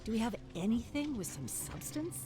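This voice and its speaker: A weary, driven newswoman, as the reader speaks her lines in a game story recording. She sounds firm and lively over a clear music bed. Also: mid-range voice, normal speed, pitched above the performer's own voice, natural voice. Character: tired, ambitious female TV journalist.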